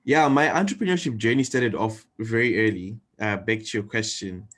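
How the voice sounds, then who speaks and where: A man's voice: fairly low pitch, normal speed, a bit bright. A young man from Zimbabwe in his twenties, a guest telling his story earnestly in an interview over a video call. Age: 20s. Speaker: guest entrepreneur. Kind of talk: interview answers.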